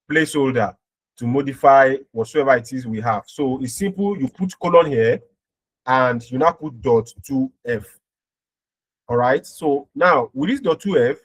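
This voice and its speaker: A man giving an informal step-by-step programming tutorial as an instructor, often checking in with short tag words as he explains. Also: medium pitch.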